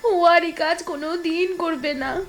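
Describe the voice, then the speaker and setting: A woman's voice: very high voice, unhurried, soft background music. A woman voicing a pleading, tearful young village woman in an audio drama, with rustic, deferential speech. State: crying.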